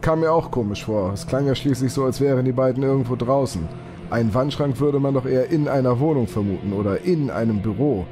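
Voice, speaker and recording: An actor playing a polite, inquisitive policeman in a German audio drama, matter-of-fact and calmly suspicious. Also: medium pitch, fairly quick, faint music behind, close to an everyday voice. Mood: probing.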